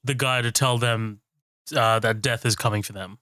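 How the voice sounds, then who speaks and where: Man, podcast co-host, laid-back and sweary, bright clean tone, dry close mic. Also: mid-range voice, steady tone, normal speed, dry recording. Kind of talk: casual chat.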